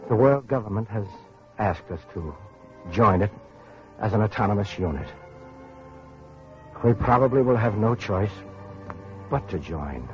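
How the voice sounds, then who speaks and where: An actor playing a weary, earnest survivor of atomic war, narrating haltingly in an old-time radio drama style. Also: male voice, fairly low pitch, animated. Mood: grave, moved. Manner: halting, hesitant, repeating words. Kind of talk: 1940s-50s radio drama acting and narration. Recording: dull old radio recording, music behind.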